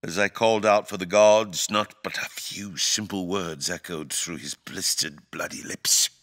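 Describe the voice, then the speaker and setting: A man performs an imperious fantasy monarch for an audio drama. The voice is deep and bright-edged, with brooding weight. The diction is formal and theatrical, each phrase placed deliberately. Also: fairly even intonation, exaggerated voice. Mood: haunted, intense. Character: grave, imperious fantasy king, eloquent and brooding.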